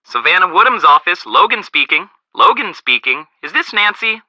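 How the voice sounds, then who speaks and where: An actor playing a busy, brisk office assistant answering calls through a telephone filter in a video game. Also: male voice, high voice, very animated, pushed and bright, no room sound, heavily acted. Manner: clipped, businesslike. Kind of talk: video game phone dialogue. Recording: muffled, band-limited phone line.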